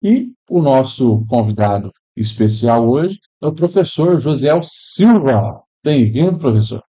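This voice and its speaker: A man's voice: mid-range voice, animated, a little slow, warm tone. Upbeat man hosting a faith podcast. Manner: upbeat, welcoming, churchy greetings. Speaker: podcast host, pastor. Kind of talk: podcast hosting, warm church greeting. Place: improvised room at a pastors' convention.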